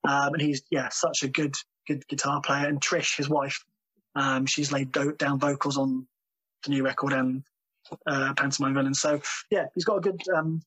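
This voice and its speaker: A man, a drummer being interviewed, talking casually with many ums and pauses. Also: medium pitch, level tone, fairly bright tone, slightly rough, poor audio. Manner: hesitant, full of ums, laughs easily.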